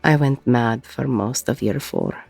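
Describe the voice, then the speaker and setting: A woman playing a weary, regretful ex-convict bar owner in an intimate audio roleplay, with a husky, gravelly voice and a reflective delivery full of pauses. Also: rumbling low, darkish tone, faint music behind, exaggerated voice. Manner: slow, reflective, pausing mid-sentence.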